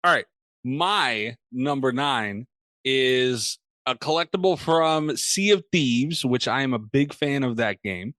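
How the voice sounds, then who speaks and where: Animated man in casual, foul-mouthed podcast banter. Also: medium pitch, takes it slowly, dry recording. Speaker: podcast host.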